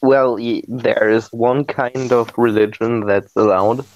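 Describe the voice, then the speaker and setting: A man hosting a casual group voice chat, talking slowly and haltingly, with many restarts and fillers. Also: medium pitch, steady tone, dry recording. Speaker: podcast host. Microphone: computer mic on a voice call. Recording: dull lo-fi voice-chat audio.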